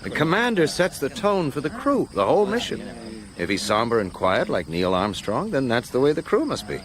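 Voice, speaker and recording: Radio drama: amiable teasing American male astronaut, wry, lively melody in a medium voice. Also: mid-range voice, fairly quick, a crowd in the background. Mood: easy-going. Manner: wry, amused. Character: easy-going, teasing male astronaut, a peacemaker.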